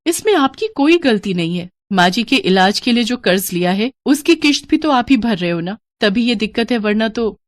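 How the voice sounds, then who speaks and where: A woman voicing a gentle, devoted young wife in a dramatised moral story, earnest, soothing and respectful. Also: a little high, animated, normal speed, few pauses, no echo, lightly acted. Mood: consoling, upset.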